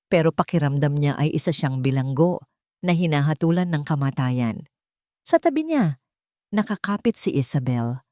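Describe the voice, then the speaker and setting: Filipino woman reading a dramatic audio story, low voice, really dry close sound. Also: mellow, dry recording. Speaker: narrator of love stories.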